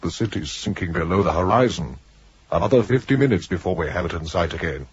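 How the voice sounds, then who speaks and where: An actor playing a peevish, pragmatic guardian computer with a husky voice in a 1980s radio drama. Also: male voice, sits low, animated, fast, no room sound, heavily acted. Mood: impatient. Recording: dull, muffled old radio recording.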